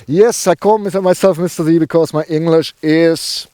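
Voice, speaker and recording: Male host, strong German accent, casual filler-heavy delivery, slightly high and mellow, dry sound. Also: fairly even intonation, normal speed, no room sound. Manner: chatty, many fillers, self-deprecating. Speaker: car review host. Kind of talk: casual car review presentation. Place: outdoors near a river.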